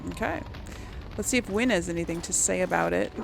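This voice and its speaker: A woman's voice: lowish voice, a little fast, creaky, background noise. A woman hosting a casual game playthrough, chatty and musing aloud as she reads out dialogue choices. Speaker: gaming video host and player. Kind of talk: casual let's play commentary, reading dialogue choices aloud.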